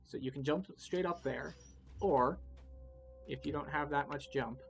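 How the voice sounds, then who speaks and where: A man's voice: fairly high pitch, unhurried, vocal fry. A man giving casual, wry, explanatory commentary as he guides a video game playthrough. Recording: game music behind the voice. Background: video game music.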